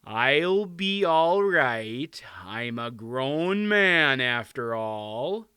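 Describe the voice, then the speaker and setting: A man acts out a mild storybook king with a slow, clear delivery. He sounds awkward and a little embarrassed, a grown husband gently placating. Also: high-pitched, lively intonation, no room sound, clean studio sound, voice pushed up, heavily acted.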